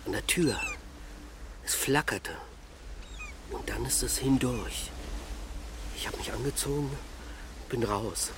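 An actor playing a restless, haunted middle-aged father in a naturalistic radio drama, speaking haltingly and reflectively in a hushed way. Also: mid-range voice, background noise, natural voice. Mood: uneasy, confessing.

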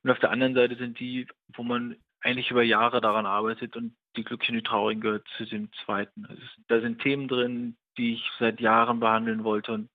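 A man in his thirties, a writer being interviewed by telephone, talking in a reflective, halting, thoughtful way. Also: mid-range voice, little pitch movement. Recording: dull, narrow telephone line.

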